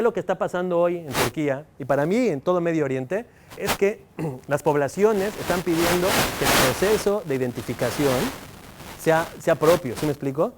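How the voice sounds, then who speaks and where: Questioning Mexican professor, high-ish voice. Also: male voice, background noise. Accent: Mexican Spanish. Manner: engaging, fires rhetorical questions at the audience. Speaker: university professor. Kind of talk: lecture to students. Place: university lecture hall.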